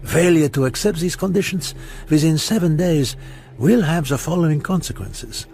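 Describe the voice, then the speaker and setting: An actor playing a cold extortionist in a radio drama, reading out a threatening letter in a measured, formal manner. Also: male voice, on the high side, animated, gravelly, darkish tone, a little hiss or hum. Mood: cold, menacing.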